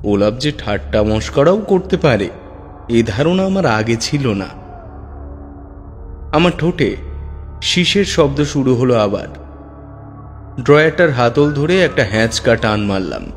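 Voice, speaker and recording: A man tells a first-person ghost story in a measured, suspense-building voice of middle pitch. His narration rings with a long reverb. Faint music plays underneath. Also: animated. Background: faint background music.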